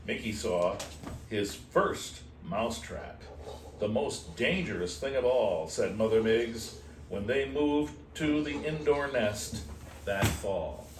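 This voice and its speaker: A man reading a children's storybook aloud expressively, in a playful manner with casual asides. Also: fairly low pitch. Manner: unhurried, animated, playful. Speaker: host reading a picture book aloud to shelter kittens. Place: indoor room at an animal shelter. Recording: roomy, distant mic with faint noise.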